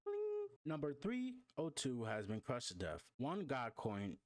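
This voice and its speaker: A man's voice: mid-range voice, huge pitch swings, unhurried, no room sound, studio quality. A man voicing a formal game notification system that announces rewards and titles in a comic recap.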